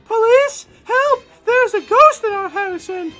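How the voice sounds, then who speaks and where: A woman's voice: extremely high pitch, expressive, slow pace, over music, exaggerated voice. A woman voicing a tender, easily frightened young newlywed bride in a melodramatic horror comic dramatization.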